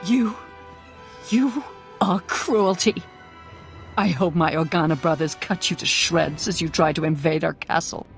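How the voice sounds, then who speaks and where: A woman's voice: on the low side, measured pace, faint music behind. A woman playing a hard-headed, proud military general in a video game, speaking in a commanding, pragmatic way. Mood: strained bitterness. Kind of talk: video game dramatic acting.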